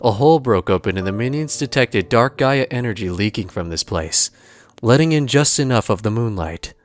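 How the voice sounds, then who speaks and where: A man's voice: medium pitch, lively intonation, normal speed, dry recording. A man giving scripted narration for an audio drama as its storyteller. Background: clear background music.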